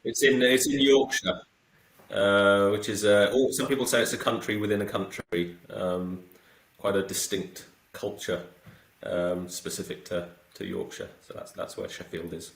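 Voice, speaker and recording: Low-voiced man in an echoey room. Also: unhurried, vocal fry. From south coast of England. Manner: relaxed, hesitant, many fillers and restarts. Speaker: guest. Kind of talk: casual podcast interview.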